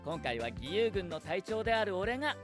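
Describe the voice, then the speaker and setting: Spirited young army captain, high and animated. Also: male voice, normal speed. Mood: upbeat. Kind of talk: game promo narration in character. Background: music.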